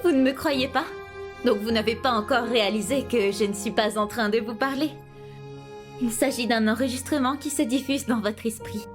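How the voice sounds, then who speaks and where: A flamboyant young diva from a game , acted out by a woman. She declaims everything like a stage show in a high, grandiose voice, with clear music behind her. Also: natural voice. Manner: theatrical, declamatory. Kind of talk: fan-dub game character acting.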